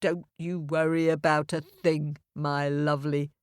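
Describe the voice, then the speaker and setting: A woman's voice: sits low, flat delivery, a little slow, smooth, no room sound, studio quality. A woman voicing a kindly, brave, motherly cow in a children's tale, soothing and protective but firm. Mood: reassuring. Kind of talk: children's storybook character voice.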